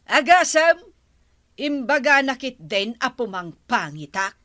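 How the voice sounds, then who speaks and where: A woman's voice: on the high side, very animated, a little slow, a bit bright, no echo. A woman playing an aggrieved, stubborn aunt who complains emphatically in a comic radio drama.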